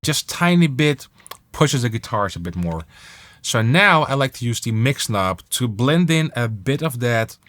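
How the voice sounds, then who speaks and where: Guy doing a casual music software tutorial, mid pitched voice, pretty enthusiastic and emphatic. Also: animated, a little slow. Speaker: music production tutorial presenter.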